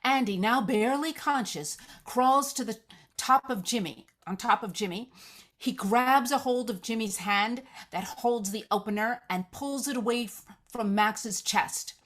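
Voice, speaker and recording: A woman's voice: a little high, measured pace, a bit bright. A woman narrating the action of a screenplay at a live read, descriptive, occasionally stumbling over the text. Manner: descriptive, reading aloud with stumbles. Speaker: stage-direction narrator.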